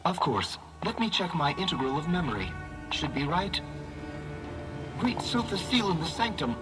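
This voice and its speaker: A man's voice: fairly high pitch, very animated, moderate pace. A man playing a polite, servile ancient clockwork automaton in a video game, speaking formally and with quaint precision. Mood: puzzled, theatrical. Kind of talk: video game character dialogue. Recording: game music over the voice. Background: loud game music.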